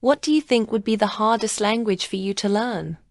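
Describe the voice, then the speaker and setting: A woman acting as examiner, reading speaking-test questions clearly and slowly. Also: mid-range voice, no echo, studio quality. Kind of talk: scripted exam questions.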